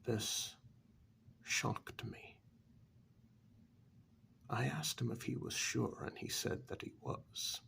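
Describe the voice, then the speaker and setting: A man in a soft-spoken ASMR story role-play, narrating a horror tale in a breathy, gravelly half-whisper as an asylum director, pausing between short phrases. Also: mid-range voice, a little hiss or hum. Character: asylum director calmly recounting eerie past events. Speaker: narrator telling a horror tale in first person.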